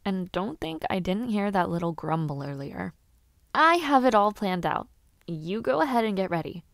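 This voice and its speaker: An eager young noblewoman with knightly ambitions, acted by a woman for an intimate audio roleplay. Her voice sits at a medium pitch in a very dry recording. She speaks in a formal, old-fashioned way, playfully bossy, with small hesitations and sighs. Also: sing-song, moderate pace, gravelly, no echo.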